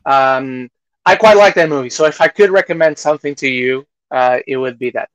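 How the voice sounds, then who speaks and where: A man's voice: mid-range voice, brisk, dry recording, lo-fi sound. A man hosting a podcast, chatting casually about films in an opinionated way and swearing freely. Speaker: podcast host. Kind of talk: casual film discussion.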